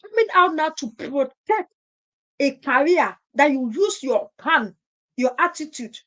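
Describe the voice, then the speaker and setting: Woman, high voice, live-stream host, emphatic, repetitive, rhetorical questions. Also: lively intonation, unhurried, fairly bright tone. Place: at home in Europe.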